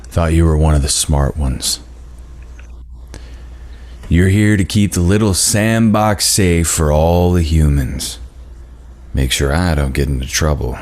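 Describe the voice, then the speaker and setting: A man's voice: extremely low pitch, measured pace, long pauses, a little mellow. A man reading the part of a sly, mocking male demon in a drama table read, drawling and folksy. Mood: scheming.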